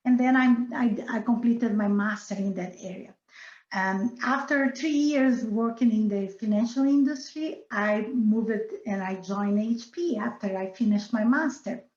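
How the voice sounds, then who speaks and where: A woman's voice: a little high, a little slow, a little mellow, roomy sound, highs cut off. A middle-aged woman from Brazil with a Brazilian Portuguese accent, speaking earnestly as an interview guest with many fillers and restarts. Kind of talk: casual interview.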